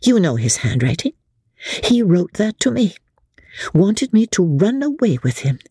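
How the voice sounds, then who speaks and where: A woman's voice: medium pitch, very animated, measured pace, mellow, husky, dry recording, studio quality, voice pushed up, exaggerated voice. A woman voicing a worldly, scheming young woman who scolds with contemptuous scorn. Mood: mocking.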